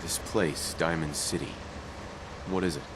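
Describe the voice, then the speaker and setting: A man's voice: a bass voice, steady tone, unhurried, background noise. An actor playing an earnest, weary father in a video game, searching for his son with restrained seriousness. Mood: curious, guarded. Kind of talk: video game dialogue acting.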